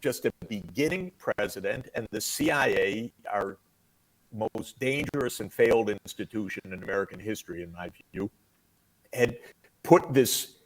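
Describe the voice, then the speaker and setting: A man explaining history at length in a measured, lecturing way, heard in a played clip. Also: fairly low pitch, fairly bright tone, rough recording. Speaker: commentator in a played interview clip.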